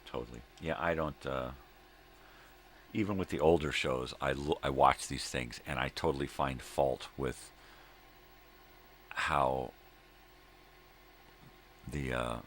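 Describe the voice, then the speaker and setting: An extremely low-voiced, gravelly middle-aged man talks casually to his vlog audience. He meanders, stops and restarts, repeats phrases and grumbles his opinions with the odd swear word. There is clear noise behind him. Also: steady tone, fairly bright tone. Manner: halting, meandering, repeats himself. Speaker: host of a daily morning video log. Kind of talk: rambling casual solo chat. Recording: noticeable background noise.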